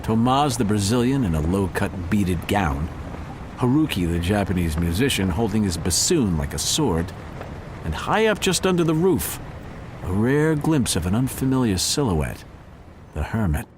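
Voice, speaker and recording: A man playing a watchful cat who narrates a murder mystery in a wry, deliberate, scripted style. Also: lowish voice, big pitch swings, background noise. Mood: wry, observant. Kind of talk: scripted first-person narration, audio drama.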